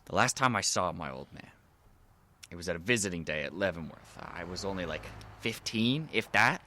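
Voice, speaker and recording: A pushy, down-and-out convict's son in an audio drama. His voice is lowish yet hard-edged and forceful, casual and streetwise with menace underneath. Faint noise sits behind him. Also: animated, normal speed. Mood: quietly menacing.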